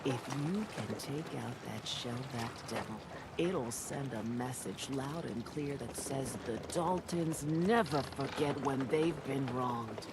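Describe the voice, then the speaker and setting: A folksy, yarn-spinning quest giver. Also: male voice, mid-range voice, slow pace, noisy background. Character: folksy, crafty island quest-giver man.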